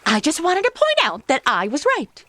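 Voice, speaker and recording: Woman playing high, bright, sassy modern chatterbox. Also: wildly swooping pitch, moderate pace, no room sound, full character voice. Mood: smug, righteous. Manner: flippant modern slangy delivery. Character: chatty, oblivious, sassy modern young woman time-traveller. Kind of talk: comedy audio drama acting.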